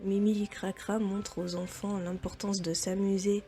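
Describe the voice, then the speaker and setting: A woman's voice: medium pitch, flat delivery, measured pace, gravelly, dark tone, faint music behind. A young adult woman presenting a semi-scripted video commentary as its host.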